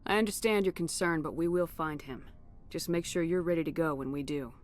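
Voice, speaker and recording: Low-voiced, resolute female starship commander played by an actress. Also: faint music behind, lightly acted. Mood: reassuring, firm. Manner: firm, measured, to the point. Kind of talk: video game voice acting.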